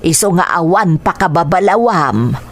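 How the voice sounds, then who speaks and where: A woman's voice: on the low side, expressive, moderate pace. A woman playing a kindly, sympathetic older neighbour with an Ilocano accent in a radio drama.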